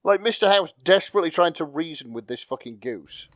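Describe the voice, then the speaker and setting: A man's voice: a little high, expressive, fairly quick, a bit bright, no room sound, studio quality. A man co-hosting a podcast in casual banter with friends, joking and swearing freely. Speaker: podcast co-host.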